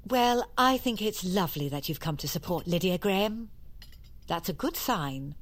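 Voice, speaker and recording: Casting: middle-aged English mother, brisk, contradicting, matter-of-fact, swooping intonation, audio drama. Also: on the low side, expressive. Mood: polite, hostly. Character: brisk, dismissive middle-aged mother hosting a tense family dinner. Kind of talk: naturalistic audio drama acting.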